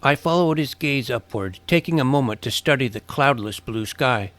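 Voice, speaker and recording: A man's voice: mid-range voice, lively intonation, normal speed, fairly bright tone, no room sound, natural voice. Man voicing a wry boy detective narrator. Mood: suspenseful. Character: twelve-year-old boy detective narrating his adventure, wry. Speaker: narrator and lead. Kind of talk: scripted first-person story narration. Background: clear music.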